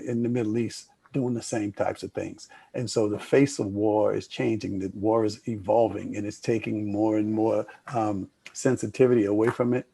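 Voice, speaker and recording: Older male moderator: gravelly, husky, low, flat delivery, dry close sound. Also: no echo. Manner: rambling, with many restarts and fillers. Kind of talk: panel discussion.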